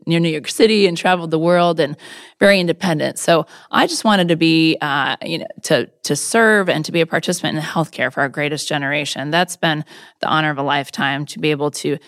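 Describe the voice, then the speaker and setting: A woman's voice: low-pitched, dry recording, studio quality. A woman in her fifties from Florida, answering interview questions as a political candidate in an earnest, conversational way with frequent restarts.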